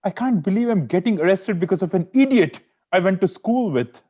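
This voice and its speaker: A man's voice: high-pitched, lively intonation, fairly quick. A man playing an aggrieved, sulky husband in an amateur script table read, over a dull lo-fi call.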